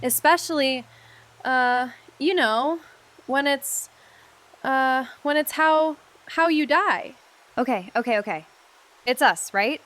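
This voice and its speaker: A woman playing a plucky amateur sleuth in an audio drama, with halting, wry banter and forced optimism. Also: sits high, fairly bright tone. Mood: nervous banter. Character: plucky female amateur sleuth, jokey and reassuring in danger.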